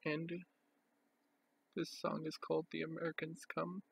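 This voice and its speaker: Very creaky, flat-toned man presenting. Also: a little high. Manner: plain, halting, itemising delivery. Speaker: record collector presenting a collection. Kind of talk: unscripted show-and-tell narration.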